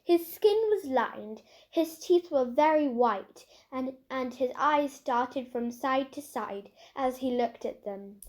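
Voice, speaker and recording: A woman narrates a children's storybook. Her voice is high-pitched and her reading is expressive, like an audiobook. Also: slow pace, few pauses. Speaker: storybook reader.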